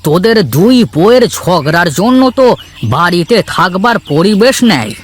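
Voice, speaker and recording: Man acting a stern village patriarch, high-pitched. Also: expressive, normal speed, slight background noise. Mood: stern, commanding. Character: stern father-in-law, a bossy village patriarch. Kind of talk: Bangla cartoon voice acting.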